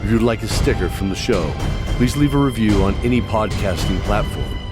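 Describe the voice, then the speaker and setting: A man's voice: on the low side, brisk, loud music behind the voice. A man reading a scripted podcast outro as an announcer, with a formal delivery. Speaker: narrator and announcer reading credits.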